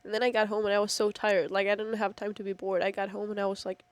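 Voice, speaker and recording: A woman's voice: medium pitch, level tone, fast talker, dry recording. A teenage young woman from Spain, chatting casually in an interview. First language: Spanish. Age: teens. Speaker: exchange student being interviewed.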